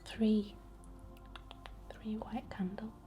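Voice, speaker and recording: A woman whispering a ritual ASMR incantation in a breathy, deliberate way. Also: mid-range voice, little pitch movement, mellow, soft background music. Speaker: ASMR witch and Reiki healer.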